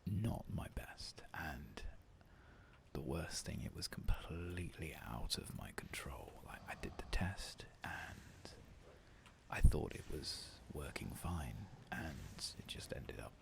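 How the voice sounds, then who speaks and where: Male ASMR gaming host, deep and hoarse, gravelly near-whisper, soft-spoken and meandering. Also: animated, slow. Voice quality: coarse, gravelly voice. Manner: soft, half-whispered, rambling and self-deprecating. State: hoarse after a long work shift.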